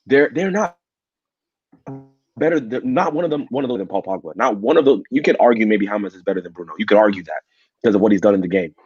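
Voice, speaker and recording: Man, low husky voice, blunt emphatic football pundit, dull dry recording. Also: darkish tone, no room sound. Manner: emphatic and blunt, repeats words as he builds a point. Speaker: podcast co-host. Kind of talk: casual football debate. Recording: dull, muffled audio.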